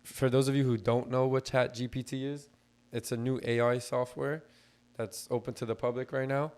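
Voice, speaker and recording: A man co-hosting a casual podcast, slightly hoarse as his voice recovers, explaining things in a laid-back way with lots of fillers. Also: mid-range voice, darkish tone. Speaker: podcast co-host.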